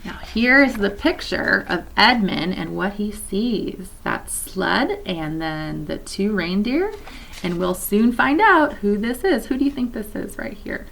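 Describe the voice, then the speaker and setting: A woman reads a children's novel aloud in a gravelly, mid-pitched voice. She stops now and then for gentle, chatty asides to her young listeners. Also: animated, unhurried, poor audio. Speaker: children's story reader at a church.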